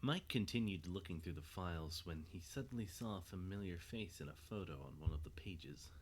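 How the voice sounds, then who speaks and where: A man's voice: low-pitched, animated, a little fast, husky. A man narrating a scripted audio drama as its storyteller.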